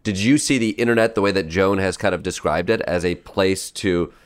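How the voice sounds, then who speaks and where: Low-voiced probing male interviewer. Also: smooth. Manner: probing, halting with restarts. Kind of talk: conversational interview questions.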